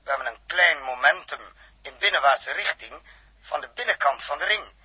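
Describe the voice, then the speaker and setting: An actor playing a practical, good-natured astronaut crew leader in a 1960s radio drama, talking in a brisk, colloquial way. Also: male voice, on the high side, animated, bright, forceful tone, breathy edge, no echo, exaggerated voice. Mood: practical, businesslike.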